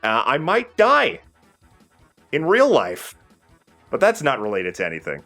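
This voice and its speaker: A man's voice: medium pitch, huge pitch swings, unhurried, sharp tone, soft background music. A man giving casual, rambling and opinionated video game commentary as the host of a let's play.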